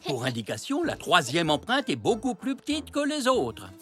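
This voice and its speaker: Cartoon zebra grandfather: elderly, kindly and playful, hugely animated melody, gently encouraging. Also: a little high, normal speed, faint music behind, full character voice. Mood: amused. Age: older character. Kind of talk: French children's cartoon dialogue.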